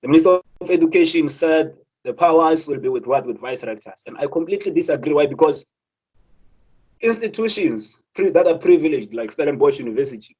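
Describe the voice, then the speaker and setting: Earnest South African man, husky voice. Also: a little high, animated, brisk, darkish tone, low-quality recording. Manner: earnest, many repeated words and restarts. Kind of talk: impassioned spoken talk.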